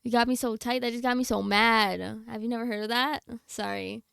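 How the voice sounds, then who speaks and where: A woman's voice: on the high side, steady tone, a little fast, vocal fry, a bit bright, clear, resonant tone, dry recording, clean studio sound. A young adult woman livestreamer chatting casually with her viewers in a slangy, blunt, candid way. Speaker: game livestreamer.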